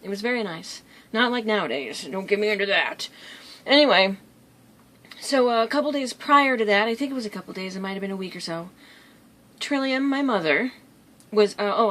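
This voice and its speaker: A woman's voice: mid-range voice, fairly bright tone. A woman vlogger telling stories in a casual, rambling way, easily drifting off track. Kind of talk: casual storytelling vlog.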